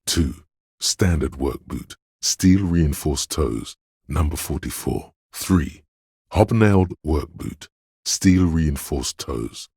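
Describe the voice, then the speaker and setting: An extremely deep bass plays the grave inner voice of a detective in a video game. He narrates in a measured, clinical way, observant and dryly judging. The sound is close and dry, with a slightly husky grain. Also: darkish tone, no echo, heavily acted. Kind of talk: dramatic second-person game narration.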